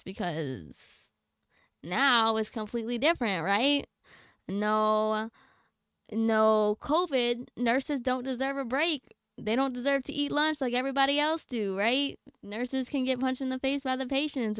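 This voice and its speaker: A woman's voice: medium pitch, fairly bright tone, smooth, dry recording, clean studio sound. A young adult woman livestreamer chatting casually with her viewers in a slangy, blunt, candid way. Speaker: game livestreamer.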